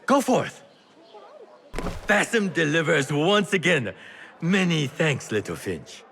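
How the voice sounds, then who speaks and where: An old video game tea merchant, wily and affectionate. His bright voice swings widely in pitch, and he takes his time, savouring each exclamation. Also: big pitch swings, slow, vocal fry, a little hiss or hum, exaggerated voice. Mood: wheedling, cheerful. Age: older. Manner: theatrical, exclaiming. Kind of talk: video game character acting.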